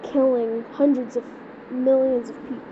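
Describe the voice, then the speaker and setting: A woman improvising an amateur skit in a halting way, repeating words as she searches for phrasing, on a lo-fi recording. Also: high voice, little pitch movement. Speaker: amateur creator voicing a homemade emergency scenario. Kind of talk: improvised amateur skit and mock emergency announcement. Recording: dull lo-fi audio with loud background noise.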